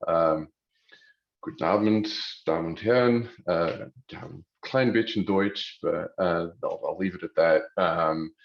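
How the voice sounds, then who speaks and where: A man in his forties from Belgium with a Flemish accent, Flemish being his first language, introducing himself on a panel in a deliberate way, pausing often. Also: low voice, vocal fry. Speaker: panelist, utility program manager. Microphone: video call. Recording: dull lo-fi video call audio.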